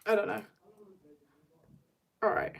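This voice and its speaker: A young woman chatting casually on an art livestream, rambling and slangy as she jumps between asides in a giggly way. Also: takes it slowly. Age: teenager or young adult. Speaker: streamer drawing and chatting.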